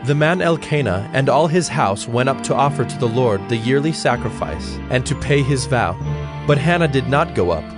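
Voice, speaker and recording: A man's voice: medium pitch, lively intonation, moderate pace. Solemn male scripture narrator over music.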